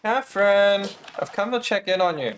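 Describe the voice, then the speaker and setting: A man's voice: high-pitched, animated, normal speed, fairly bright tone, a little hiss or hum. A man giving casual gameplay commentary as a streamer, chatting back at the game's characters. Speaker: game streamer.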